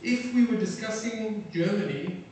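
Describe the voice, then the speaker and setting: A middle-aged man from South Africa giving a lecture to an audience, halting often with fillers and restarts. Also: high voice, darkish tone. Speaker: defense attaché giving a lecture. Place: lecture hall. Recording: very echoey hall, from far off, faint noise.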